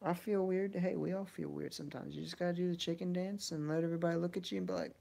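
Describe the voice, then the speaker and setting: A man's voice: sits high, steady tone, quick pace, vocal fry, dark tone. A man in his early twenties chatting casually on a livestream, answering viewers in a laid-back, slangy way. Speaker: game streamer.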